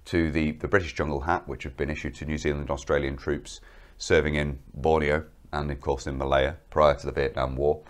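Man, very deep voice, has a cold, methodical presenter, studio lapel mic. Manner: detailed, methodical, explains while pointing. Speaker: military history presenter. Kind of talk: informative presentation to camera.

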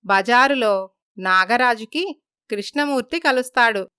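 A woman narrates a scripted family story. Her voice sits fairly high, with a bright, smooth tone. The recording is close and dry. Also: a little slow, no echo.

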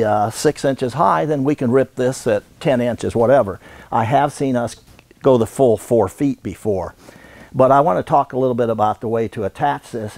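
An American man in his sixties giving an unscripted instructional demonstration in a halting, folksy way. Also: mid-range voice, a little mellow, no room sound. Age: 60s. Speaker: radon supply business owner and instructor. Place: indoor warehouse.

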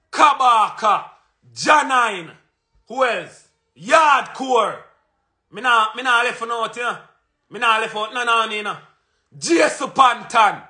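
A man's voice: high voice, bright, forceful tone. A man in his thirties from Jamaica with a Jamaican accent, delivering an emphatic, confrontational monologue to his phone camera at home. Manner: emphatic and confrontational, punches out lists and repeats phrases. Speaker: vlogger. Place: small indoor room at home. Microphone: phone camera at arm's length.

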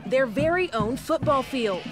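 A woman television reporter delivering a scripted news package with crisp voice-over delivery. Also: sits high, expressive, measured pace, loud music behind the voice.

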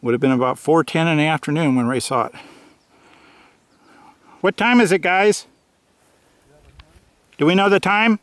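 A man's voice: fairly high pitch, normal speed, long pauses, a bit bright, no room sound. Guy narrating his hike on camera, chatty, out in a grassy field. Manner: chatty, running commentary. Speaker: hiker filming a Bigfoot search. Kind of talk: casual narration while filming. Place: open grassy field outdoors at dusk. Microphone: handheld camera mic.

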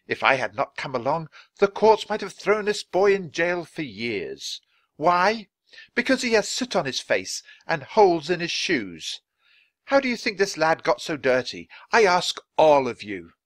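A man voicing a kindly, indignant English gentleman author in a storybook, with grand, emphatic speech and a theatrical manner. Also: a little high, big pitch swings, measured pace, voice pushed up.

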